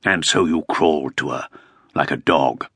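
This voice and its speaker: A man voicing a coarse, scornful Elizabethan courtier with a low, contemptuous drawl. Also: normal speed, vocal fry, fairly bright tone, breathy edge, dry recording, clean studio sound, exaggerated voice.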